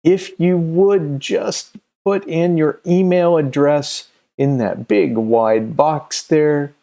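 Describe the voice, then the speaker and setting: A man in his fifties giving a casual, promotional vlog talk as a creator, halting and often searching for words. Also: a little high, fairly even intonation, warm tone. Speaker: comic creator and channel host. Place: small room at home, indoors.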